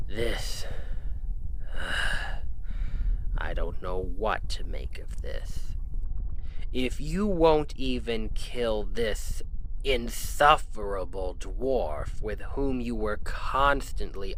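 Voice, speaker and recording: A man playing a proud, frustrated Demon King in an ASMR roleplay, speaking in a grandiose, formal, theatrical way with heavy pauses. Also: lowish voice, pushed and bright, a little hiss or hum, heavily acted, poor audio. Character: proud, frustrated male Demon King, menacing yet lovelorn.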